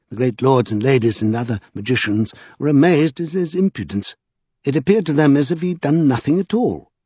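A man's voice: mid-range voice, darkish tone, breathy edge, dry recording, highs cut off, voice pushed up. A man voicing a bookish, thoughtful magician who lectures precisely in an audiobook. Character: pedantic, bookish, thoughtful male scholar magician.

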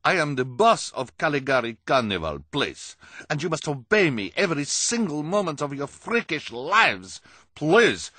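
Male reader doing a loud, high, drawn-out fake fortune-teller woman, odd accent, bossy, storybook. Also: no room sound, full character voice. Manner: broken foreign-sounding phrasing, stray "please"s. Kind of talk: audiobook character voice.

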